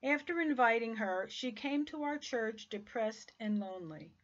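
A woman's voice: a little high, moderate pace, dull audio. Older woman pastor reading a devotional. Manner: steady, earnest reading of a numbered list. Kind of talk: scripted devotional talk. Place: small home room with bookshelves.

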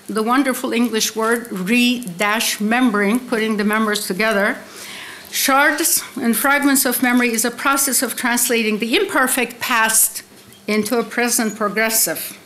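A middle-aged woman speaks in a fairly high-pitched voice as she presents a formal academic lecture. Her delivery is deliberate and scholarly, largely read out, with weight placed on key terms. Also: a bit bright, low-quality recording. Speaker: keynote speaker, literary scholar. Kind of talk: academic conference lecture, mostly read from prepared text. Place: indoor conference hall, seated at a desk with laptop. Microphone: desk microphone on a stand.

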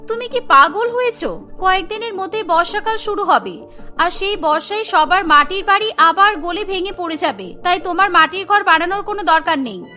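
A woman's voice: very high voice, lively intonation, normal speed, bright, forceful tone, soft background music, full character voice. A woman voicing a worried, disapproving young village wife in a cartoon, scolding and sceptical.

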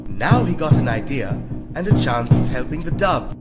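A man's voice: medium pitch, big pitch swings, normal speed. A man narrating a scripted children's story as a storyteller. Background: music.

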